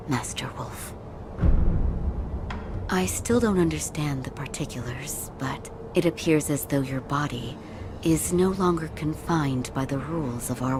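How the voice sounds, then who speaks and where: An actress playing a calm, courteous woman in a video game, speaking in a measured, formal and polite way. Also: a bass voice, noisy background. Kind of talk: scripted video game dialogue.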